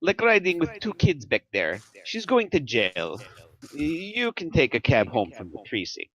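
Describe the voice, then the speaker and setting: A man's voice: fairly high pitch, wildly swooping pitch, unhurried, fairly bright tone, full character voice, poor audio. A man acting a reluctant veteran cop near retirement, complaining and exasperated in a screenplay table read. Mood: gruff, suspicious.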